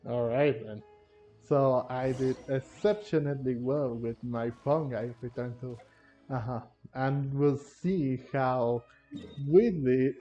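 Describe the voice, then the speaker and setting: Halting man commenting on a game, muffled. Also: mid-range voice, mellow. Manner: halting, repetitive, rambling. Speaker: gamer, video host. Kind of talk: casual game playthrough commentary. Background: game music.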